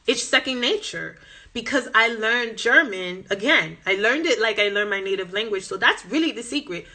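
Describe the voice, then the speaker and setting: A woman's voice: fairly high pitch, animated, normal speed, bright, forceful tone. A woman with a Southern American accent chatting passionately on a phone live stream, reading viewer comments as she goes. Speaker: language-learning Instagram host.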